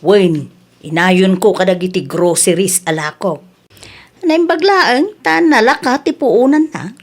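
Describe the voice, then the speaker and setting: A woman's voice: medium pitch, expressive, a little slow. A woman playing a shrewd, penny-pinching wife who runs a small village store in an Ilocano comedy radio drama, with an Ilocano Filipino accent. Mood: scheming, bossy.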